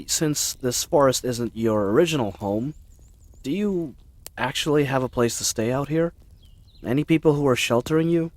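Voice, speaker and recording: Casting: male actor as kind-hearted, babbling knight for audio roleplay; mid-pitched, very lively intonation, awkward, earnest delivery. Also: lightly acted. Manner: rambling, hesitant, awkwardly earnest. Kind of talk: character roleplay audio drama, addressed to listener.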